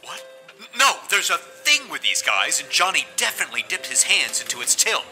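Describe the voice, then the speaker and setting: A sarcastic field agent, played by a man in a comedy audio drama, wry and dry. His bright, forceful voice swings widely, with faint music behind. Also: on the high side, very animated, fairly quick, heavily acted. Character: wry male field agent, film buff.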